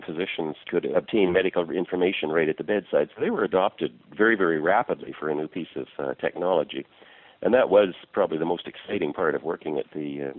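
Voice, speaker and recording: An older man with a bass voice, a retiring physician answering interview questions in a measured, explanatory way with frequent fillers. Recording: tinny phone-like line, dry.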